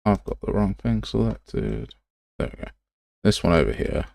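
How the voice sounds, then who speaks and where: A man's voice: sits low, big pitch swings, normal speed, creaky, darkish tone, no room sound. A man giving a casual live tutorial, talking to himself as he works and sounding self-deprecating. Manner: muttering to himself while working. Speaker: game development livestreamer.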